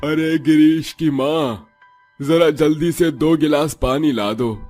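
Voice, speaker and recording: A man playing a middle-aged village husband and father, hungry and jovial, in an animated comic story with exclamatory, teasing talk. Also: on the high side, takes it slowly, faint music behind. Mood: impatient.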